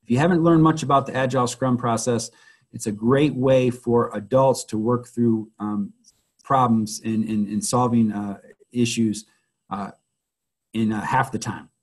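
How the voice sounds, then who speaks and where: A man presenting a school webinar, hoarse and losing his voice, who often clears his throat and speaks haltingly with many fillers. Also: mid-range voice, steady tone. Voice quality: husky.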